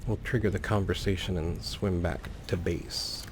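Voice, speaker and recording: A man's voice: sits low, fairly even intonation, moderate pace, background noise. A man giving let's play commentary on a video game, often narrating his own actions in short phrases. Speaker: gaming host. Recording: faint game ambience behind voice. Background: video game ambience.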